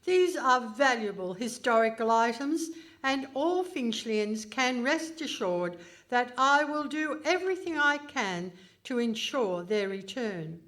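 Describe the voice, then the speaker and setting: A woman playing a haughty, pompous Iron Lady politician in a comic radio play, speaking in a stately, deliberate manner. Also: on the high side, animated, fairly bright tone. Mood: haughty, dismissive. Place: hall stage before a live audience.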